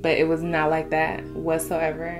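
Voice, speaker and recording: A young woman hosting a relaxed couple Q&A with her husband. Her voice sits on the low side, and she tells stories with lots of animation, laughing and cursing. Soft music plays faintly in the background. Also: moderate pace. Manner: animated storyteller, laughs and curses freely. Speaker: co-host of couple channel. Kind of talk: casual couple Q&A chat.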